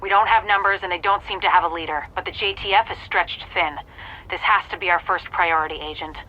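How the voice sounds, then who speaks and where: A woman voicing a serious, businesslike agent giving a measured mission briefing over the radio in a video game. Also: fairly low pitch, fairly even intonation. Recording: dull radio link with faint noise.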